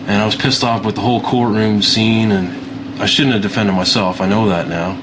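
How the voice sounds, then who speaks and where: An actor playing a hot-headed, foul-mouthed trailer park man who yells and swears constantly. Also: fairly low pitch, steady tone, moderate pace, background noise, close to an everyday voice. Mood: rueful. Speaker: trailer park resident in a mockumentary. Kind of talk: mockumentary acting.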